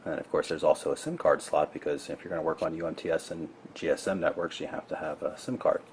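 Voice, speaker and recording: Low-voiced man reviewing a gadget. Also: fairly even intonation, quick pace. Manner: matter-of-fact, explanatory. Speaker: tech reviewer presenting a gadget unboxing. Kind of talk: casual product demonstration. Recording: clear background noise.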